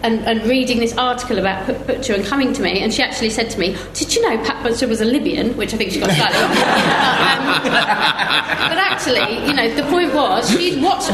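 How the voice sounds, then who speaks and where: A woman's voice: a little high, animated, a little fast, crowd noise. A woman speaking informally and telling anecdotes as a panellist at a live discussion. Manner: informal, conversational. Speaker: festival panellist. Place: indoor live event venue. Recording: echoey room, clear background noise.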